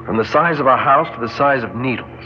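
Actor as a brisk spaceship commander, muffled radio. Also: male voice, mid-range voice, close to an everyday voice. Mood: urgent. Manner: businesslike, military. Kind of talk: old-time radio drama acting. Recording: dull old radio, music behind.

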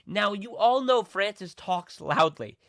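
A man's voice: sits high, lively intonation, measured pace, a bit bright, dry recording, clean studio sound. A young man from Rhode Island co-hosting a podcast, chatting casually and introducing the show. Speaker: podcast co-host. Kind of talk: casual chat.

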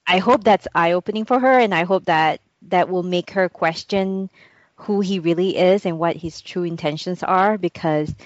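A woman from Singapore talks in a lowish voice, hesitant and often restarting her sentences. The sound is dry and dull. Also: no echo. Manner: hesitant, many restarts and fillers. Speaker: podcast co-host. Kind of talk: casual chat.

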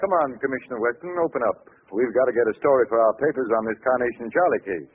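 An actor playing a pushy, cynical newspaper reporter, brash and needling, in 1940s radio style. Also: male voice, medium pitch, animated, fast, natural voice. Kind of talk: 1940s radio crime drama acting. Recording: noisy, narrow-band old radio broadcast.

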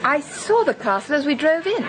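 An actress in a radio drama playing a jealous, sharp-tongued young bride with a cool, clipped manner. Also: female voice, sits high, very animated, normal speed. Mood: polite, uneasy. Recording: noisy old radio drama recording.

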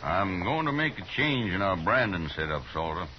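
An American actor playing a stubborn cattle rancher in a 1950s radio Western, with a clipped, firm, terse delivery. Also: male voice, low voice, very animated, moderate pace. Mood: stern. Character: stubborn, stern cattle rancher, adult man. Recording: muffled, noisy 1950s radio recording.

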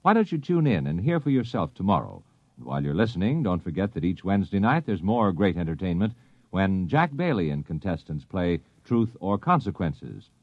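A man's voice: low voice, very animated, brisk, no echo. A man reading scripted promos as a 1950s network radio announcer, polished and emphatic. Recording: dull, dry vintage radio recording.

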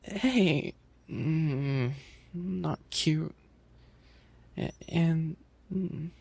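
A man acts out a bashful young animal-boy maid in an ASMR roleplay. He is fussy and easily flustered, stammering in a slow, husky voice with a creaky edge. His manner is pouty and shy. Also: fairly high pitch, darkish tone, exaggerated voice.

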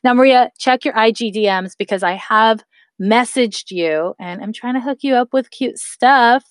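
A woman's voice: on the high side, moderate pace, no echo. A woman in her thirties hosting a podcast, giving casual spiritual advice and encouraging her listeners, whom she calls her loves. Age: 30s. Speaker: podcast host. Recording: dry close recording.

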